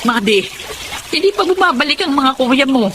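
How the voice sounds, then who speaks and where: A woman's voice: high voice, animated, normal speed, very noisy. An actress with a Filipino accent playing an anxious, emotional middle-aged mother in a radio drama, pleading and accusing. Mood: anxious, impatient. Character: anxious mother of grown sons, grieving and bitterly accusing.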